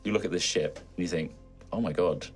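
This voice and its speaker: A man's voice: low voice, a little fast. A man narrating a tabletop roleplaying game as game master, conversational with theatrical emphasis when he describes scenes. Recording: background music under the voice.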